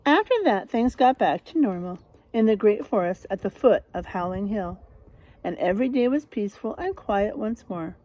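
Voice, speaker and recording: A woman reading a picture book aloud like a teacher, expressive and dramatic, pausing to put questions to young listeners. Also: mid-range voice, low-quality recording. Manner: animated, dramatic delivery with drawn-out words.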